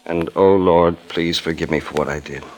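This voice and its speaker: A low-voiced, world-weary police detective played by a man in a 1940s radio drama. He talks in clipped, understated lines, like a terse cop. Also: fairly even intonation, normal speed, close to an everyday voice, rough recording. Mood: reflective, subdued.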